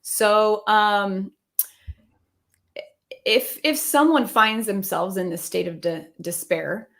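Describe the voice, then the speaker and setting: A woman's voice: mid-range voice, slow, gravelly, fairly bright tone. A woman around her thirties speaking conversationally as a couples coach on a live video call. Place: indoor room, video call.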